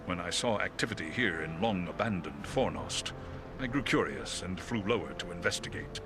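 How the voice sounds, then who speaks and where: A man voicing a noble, courteous talking eagle in a video game, speaking formally and with measured gravity. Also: low voice, expressive, noisy background. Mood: grateful, dignified. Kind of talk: video game cutscene acting.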